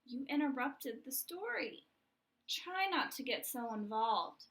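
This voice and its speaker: A woman voicing a patient, weary father chicken who gently chides at bedtime in a picture-book read-aloud. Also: high-pitched, lively intonation, slow, heavily acted. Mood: gently chiding. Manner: gently chiding.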